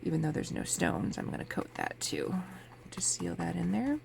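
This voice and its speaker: Sniffly, gravelly-voiced woman crafting. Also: on the low side, normal speed. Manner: chatty, relaxed, explains as she works. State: sniffly from a cold. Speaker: crafting channel host. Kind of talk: casual craft tutorial. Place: home craft table with a fan running. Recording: faint fan noise in background.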